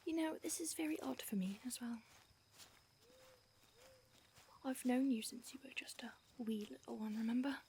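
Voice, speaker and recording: A woman's voice: a little high, brisk, a little hiss or hum, close to an everyday voice. A woman whispering as an old vampire mentor. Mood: tender. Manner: soft hushed whispering. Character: calm centuries-old female vampire mentor. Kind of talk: audio roleplay.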